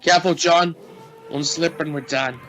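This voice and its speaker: A man's voice: fairly high pitch, steady tone, moderate pace, over music, natural voice. An actor playing a bossy, nervous and exasperated expedition crewman in a sci-fi radio play. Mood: anxious.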